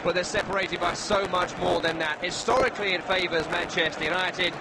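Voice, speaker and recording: A male sports radio presenter with a high voice. He hosts live football coverage, setting up the match for listeners. Loud stadium ambience and noise sit behind him throughout. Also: fairly even intonation, a little fast. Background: loud outdoor stadium ambience.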